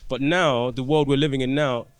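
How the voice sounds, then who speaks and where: A man, a doctor guest on a podcast, explaining his work through anecdotes in relaxed conversation and often restarting his phrases. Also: mid-range voice, moderate pace, smooth, no echo.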